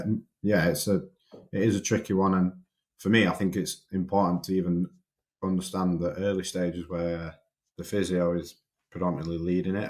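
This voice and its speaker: A young man with a Yorkshire accent, a strength coach talking as a podcast guest, hesitant and often repeating his words. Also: deep voice. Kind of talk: casual podcast interview.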